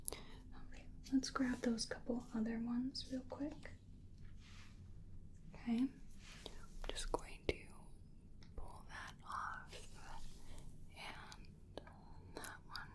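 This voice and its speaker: A woman doing soft-spoken ASMR roleplay as a crystal healer, breathy and mostly whispering, guiding a client in a soothing, repetitive way. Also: a little high.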